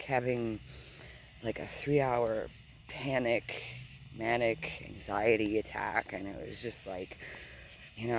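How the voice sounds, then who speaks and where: A deep-voiced woman in her thirties telling a rambling, digressive story while walking outdoors, swearing casually and sometimes dropping to a whisper. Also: slight background noise. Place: outdoors on grass at night with insects.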